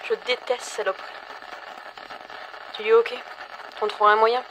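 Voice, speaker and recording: A woman's voice: a little high, little pitch movement, moderate pace, a bit bright, a little hiss or hum, rough recording. A woman playing a bossy, curt survivor giving orders over a radio in an audio drama. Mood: irritated. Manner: curt, insulting.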